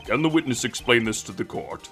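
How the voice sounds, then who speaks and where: A man's voice: medium pitch, fairly quick, a bit bright, faint music behind, lightly acted. A man voicing a stately, weary older courtroom judge in a game fandub, formal and dryly exasperated. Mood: puzzled, formal. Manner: formal, dry, faintly exasperated. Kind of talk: visual novel fandub voice acting.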